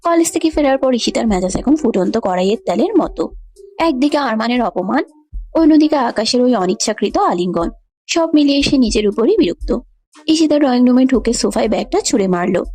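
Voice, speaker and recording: A woman's voice: fairly high pitch, animated, fairly quick, mellow. A woman narrating a scripted romantic story.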